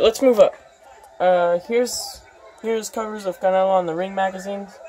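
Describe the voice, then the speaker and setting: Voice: medium pitch, a little mellow. A vlogger casually narrating a tour from behind a handheld phone in a room full of posters, listing items with many ums and uhs. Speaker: vlogger giving a home tour.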